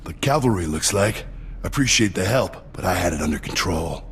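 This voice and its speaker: An actor playing a blunt, rough street rebel in a video game, talking in clipped, colloquial phrases. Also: male voice, sits low, animated, brisk, a bit bright, big-room sound, slight background noise. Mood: gruff, defiant.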